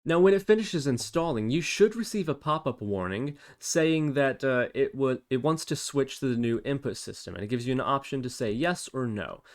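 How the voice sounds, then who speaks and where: A man presenting a screencast software tutorial, explaining step by step in an informal, casual way. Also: mid-range voice, expressive, moderate pace, few pauses.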